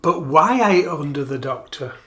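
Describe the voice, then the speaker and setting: An actor playing a concerned, matter-of-fact doctor in a radio play, questioning and firm. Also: male voice, fairly high pitch, expressive, normal speed, fairly bright tone. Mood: incredulous questioning.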